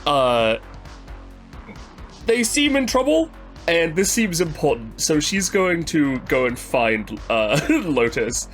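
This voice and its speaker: A man playing a tabletop game, narrating his character in the third person in a hesitant, deliberate way. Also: a little high, big pitch swings, fairly bright tone, soft background music.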